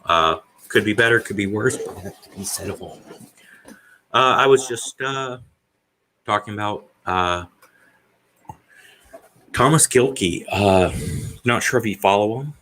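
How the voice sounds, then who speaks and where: A man's voice: fairly low pitch, a little slow, long pauses, pushed and bright, low-quality recording. A man in his forties hosting a casual live stream, rambling and hesitant, with many fillers. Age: 40s. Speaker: stream host.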